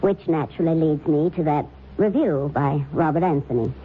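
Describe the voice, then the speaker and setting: A woman's voice: sits low, normal speed, lightly acted. An actress in an old radio drama playing a skeptical, composed woman interviewer with a polished, probing manner. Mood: poised, probing. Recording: thin, phone-like, noisy old radio recording.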